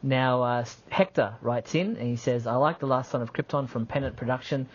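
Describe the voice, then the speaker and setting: A man's voice: mid-range voice, a little fast, breathy edge. A man co-hosting a radio show, chatting casually. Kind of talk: casual talk show chat. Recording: dull lo-fi audio.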